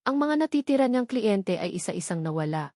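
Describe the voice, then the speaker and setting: A woman reading a scripted, dramatic family love story as narrator, pausing between short phrases for effect. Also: mid-range voice, sing-song, darkish tone, no room sound, clean studio sound.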